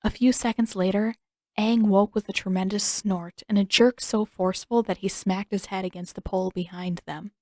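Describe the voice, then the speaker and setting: A woman narrates fiction as an audiobook reader. Her voice has a middling pitch and the sound is dry and close, with hardly any echo. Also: steady tone, normal speed, warm tone. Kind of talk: scripted story narration.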